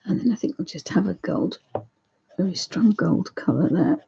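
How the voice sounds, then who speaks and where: A woman with a croaky voice hosting a live painting session, chatting to viewers and thinking aloud as she works. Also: fairly low pitch, lively intonation, normal speed, dark tone, dull audio. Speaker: art channel host. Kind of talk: live painting demo chat.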